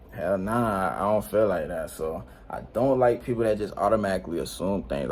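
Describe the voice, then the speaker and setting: Teen male vlogger, low voice, slangy and rambling, faint room hum behind. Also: a little mellow. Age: late teens. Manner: slangy, rambling, laid-back, frequent "you know what I'm saying". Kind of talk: unscripted casual vlog talk. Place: indoors at home.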